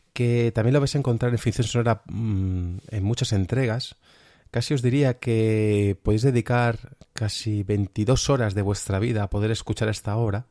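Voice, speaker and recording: Low-voiced enthusiastic Castilian podcast man. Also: a little mellow. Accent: Castilian Spanish. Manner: enthusiastic, rambling, conversational. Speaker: podcast host. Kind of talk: informal spoken review of radio dramas.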